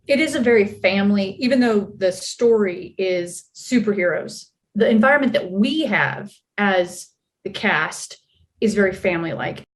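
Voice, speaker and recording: A woman's voice: mid-range voice, animated, normal speed. A woman interview guest talking casually and reflectively, with thoughtful emphasis on key words.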